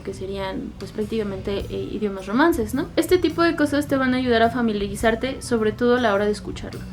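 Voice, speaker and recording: A woman in her twenties with a Mexican accent, chatting directly to the viewer in a casual vlog about how she studies languages. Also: fairly high pitch. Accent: Mexican Spanish. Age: 20s. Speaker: language-learning YouTuber. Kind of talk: casual explanatory vlog talk.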